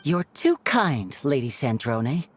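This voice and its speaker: A woman voicing a polite young woman who teases gently. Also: rumbling low, sing-song, takes it slowly, heavily acted. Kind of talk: video game voice acting. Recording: soft game music behind.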